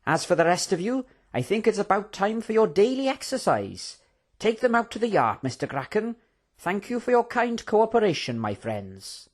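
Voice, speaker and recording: A man's voice: high voice, big pitch swings, normal speed, pitched above the performer's own voice. Man putting on a falsely genial, lilting overseer. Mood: pleased, mock-polite.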